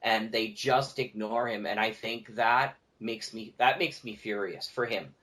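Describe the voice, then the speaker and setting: A man's voice: fairly low pitch, measured pace, bright, forceful tone. A man talking casually as a guest on a podcast, speaking emphatically and repeating key words for stress.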